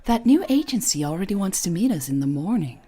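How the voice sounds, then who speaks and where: A woman's voice: lowish voice, big pitch swings, fast talker, dark tone, husky. A woman voicing a casual female tech reporter in conversational audio fiction dialogue. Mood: casual, agreeable.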